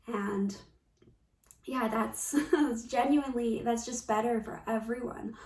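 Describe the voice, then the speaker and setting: A woman giving a reflective spiritual talk as a coach, gentle and earnest, often pausing mid-phrase. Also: fairly high pitch. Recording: roomy echo, distant from the mic.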